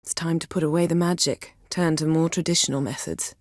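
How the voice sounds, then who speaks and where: Haughty sorceress for a fantasy game , played by a woman: lowish female voice, imperious, cutting, wry and formal, guarded yet devoted; very dry studio sound. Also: fairly even intonation, brisk, darkish tone, no room sound, lightly acted. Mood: composed, earnest. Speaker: video game character. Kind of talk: acted video game dialogue.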